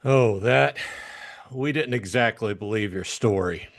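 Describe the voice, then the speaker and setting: A man's voice: lowish voice, a little slow, vocal fry. A man playing a cool, cynical private detective in an audiobook, speaking in a blunt, sardonic, matter-of-fact way. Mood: dry, cynical. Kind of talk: audiobook character acting.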